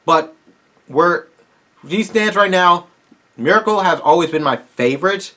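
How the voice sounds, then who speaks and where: A man with a somewhat high voice talks straight to his viewers, closing out a casual Q&A. He sounds tired and his voice is strained. He rambles in an eager, fan-like way and often restarts his sentences. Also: expressive, measured pace, pushed and bright. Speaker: YouTuber host.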